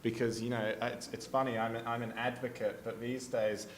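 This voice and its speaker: A man's voice: mid-range voice, level tone, normal speed, a little hiss or hum. A man with an Australian accent speaking earnestly on a conference panel, thinking aloud and often saying "you know". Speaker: panelist, advocacy group executive. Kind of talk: panel discussion. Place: conference hall.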